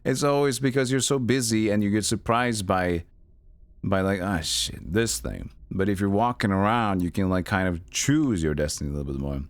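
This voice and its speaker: Low-voiced man rambling on a game stream. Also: normal speed, no room sound. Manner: rambling, musing. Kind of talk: casual live stream commentary.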